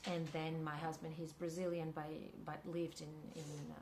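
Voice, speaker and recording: A woman with a Russian accent, a filmmaker and actress, answering an interview question thoughtfully and pausing often to find her words. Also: low voice, flat delivery, noisy background. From Kyrgyzstan. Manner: thoughtful, searching for words with many pauses.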